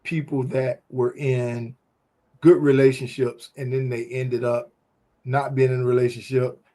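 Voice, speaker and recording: A man's voice: medium pitch, little pitch movement, measured pace, mellow. A man co-hosting a casual faith-based talk show, speaking emphatically and animatedly, with a preachy storytelling manner. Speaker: podcast co-host.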